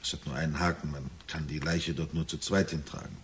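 An actor playing a gloomy middle-aged husband in a German radio drama, speaking in a measured storytelling way with dry irony. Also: male voice, a bass voice, steady tone, a little hiss or hum. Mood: grim, hushed recounting. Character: gloomy middle-aged husband recounting a nightmare, wryly forbearing.

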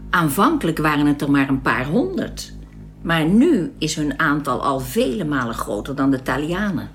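A woman's voice: sits low, very animated, moderate pace. An actress playing a serene, proud young alien woman of a perfected race in a science-fiction radio drama, speaking in a composed, instructive way. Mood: detached, explaining.

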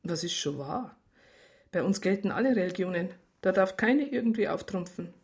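A woman's voice: mid-range voice, lively intonation, normal speed, darkish tone, natural voice. Dry, teasing worldly woman played by an actress. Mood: dryly sceptical. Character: worldly, disillusioned, flirtatious Bavarian woman, bluntly independent. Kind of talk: naturalistic radio drama acting.

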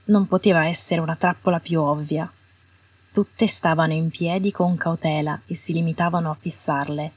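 A woman's voice: low voice, steady tone, moderate pace, darkish tone, breathy edge. A woman reading scripted fiction as an audiobook narrator. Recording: roomy echo, distant from the mic.

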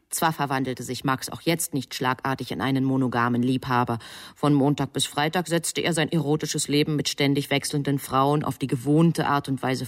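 A woman playing a composed, superior angel who narrates a divine plan in a radio play, with measured, wryly formal literary storytelling. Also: low voice, lightly acted.

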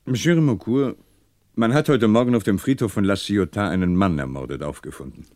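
Composed, wry middle-aged commissioner played by a male actor, low dry-sounding voice, polite probing questions, vintage radio drama. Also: fairly quick, darkish tone, dry recording, lightly acted. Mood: courteous, probing. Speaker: police commissioner in a crime radio play. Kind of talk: 1960s German radio drama acting.

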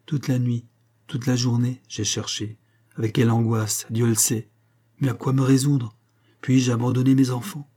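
A man's voice: medium pitch, flat delivery, moderate pace, mellow, natural voice. A man voicing an anguished, defiant wife and mother in an audiobook, earnest and pleading. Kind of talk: dramatic audiobook dialogue.

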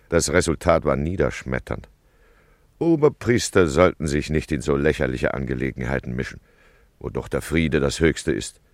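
A man's voice: rumbling low, no room sound, studio quality, heavily acted. An actor playing a fussy, weary male town judge in a 1950s radio play, grumbling as he recounts events. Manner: grumbling, storytelling narration.

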